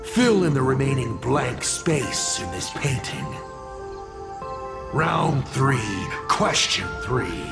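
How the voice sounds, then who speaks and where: A man's voice: medium pitch, sing-song, slow, gravelly, music in the background, heavily acted. An actor playing a taunting, theatrical villain in a video game, drawling mockingly as he poses riddles. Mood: sly, taunting.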